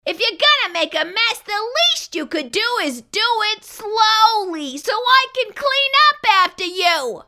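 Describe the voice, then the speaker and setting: A woman's voice: extremely high pitch, expressive, measured pace, hardly any pauses, bright, forceful tone, full character voice. A woman voicing a grumpy, short-tempered storybook character, scolding dramatically as in a children's read-aloud. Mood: exasperated.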